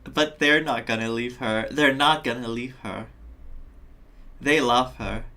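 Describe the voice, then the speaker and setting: A man streaming a video game and commenting casually in a middle-pitched voice. He is reflective and empathetic, often repeating a phrase to underline it. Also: a little slow, bright, forceful tone.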